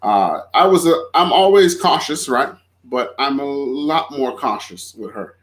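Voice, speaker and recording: A man's voice: medium pitch, lively intonation, measured pace, a bit bright. A man in his thirties from Florida, a podcast guest talking in an easygoing, chatty way. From Florida, USA. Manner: easygoing, chatty, laughs easily. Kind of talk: casual conversation.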